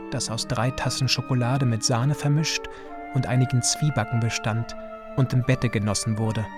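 A man playing a methodical royal husband recalling his wife in measured, old-fashioned formal language for a radio drama. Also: medium pitch, close to an everyday voice.

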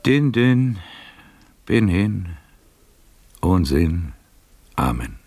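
An actor playing a proud, bitter old nobleman in a German radio play, with a gravelly, husky voice and a pompous, brooding manner. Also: low voice, sing-song, extremely slow, darkish tone, a little hiss or hum, exaggerated voice. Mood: resigned. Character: proud, bitter old nobleman over seventy, worldly gourmand.

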